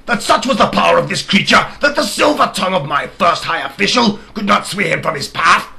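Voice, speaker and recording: A man voicing an evil, ruthless king in a storybook reading, pompous and deliberate, with cold menace. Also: high-pitched, expressive, vocal fry, sharp tone, faint music behind, pitched above the performer's own voice, heavily acted.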